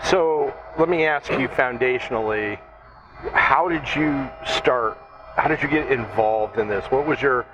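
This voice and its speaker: A man's voice: medium pitch, measured pace. A man hosting an interview, asking casual, enthusiastic questions. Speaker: museum show host, interviewer. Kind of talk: interview conversation. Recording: dull recording with clear background noise.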